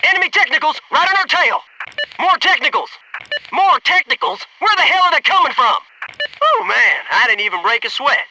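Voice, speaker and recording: An actor playing a cocky, keen young military squadmate in a video game, giving short clipped combat callouts. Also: male voice, extremely high pitch, sing-song, moderate pace, noisy background, heavily acted. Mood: urgent shouting.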